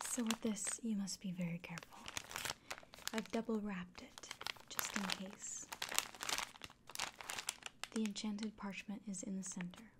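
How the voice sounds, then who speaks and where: A woman playing a friendly fantasy innkeeper in a soft, breathy, often whispered ASMR roleplay, speaking gently and without hurry. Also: medium pitch, heavy background noise, lightly acted. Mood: careful, earnest. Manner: gentle, unhurried, caring. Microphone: close microphone.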